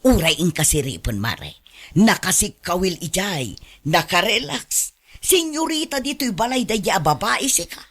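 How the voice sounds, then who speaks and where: A woman's voice: mid-range voice, sing-song, unhurried, breathy edge. A woman playing a fussy, complaining middle-aged mother-in-law in a radio drama, with an Ilocano accent. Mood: exasperated complaining. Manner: complaining, emphatic. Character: exasperated, doting older mother-in-law who does all chores.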